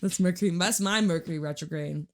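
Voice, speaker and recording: A woman with a raspy, hoarse voice pitched a little low talks her viewers through a tarot spread. She is chatty and reassuring and swears in passing. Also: fast talker. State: hoarse from screaming. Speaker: tarot reader. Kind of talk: casual tarot reading to viewers.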